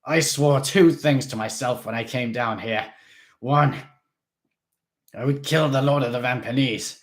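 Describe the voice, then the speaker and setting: A man reading aloud, voicing a stern old male vampire who speaks in a formal, grave, measured way. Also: lowish voice, natural voice. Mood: defiant.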